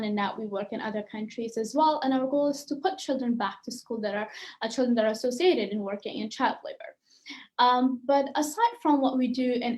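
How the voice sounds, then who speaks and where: A woman's voice: a little high, darkish tone. A woman speaking for a children's charity at an online celebration, earnest and grateful, with frequent ums. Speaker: charity representative.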